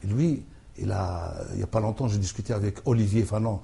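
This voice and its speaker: A man being interviewed about his books, speaking in a halting way with many restarts and fillers as he thinks aloud. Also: lowish voice, vocal fry, warm tone, husky. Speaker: author, interview guest. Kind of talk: hesitant conversational interview.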